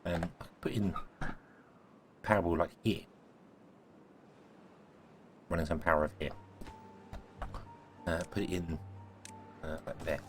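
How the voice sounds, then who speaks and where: A deep-voiced man talks through a city-building game, rambling and correcting himself as he goes. His voice is a little croaky from a cold, and soft music plays faintly underneath. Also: fairly even intonation, measured pace, pauses often. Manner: thinking aloud, rambling, self-correcting. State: croaky, sneezy voice. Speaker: gaming video host. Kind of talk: casual let's-play commentary.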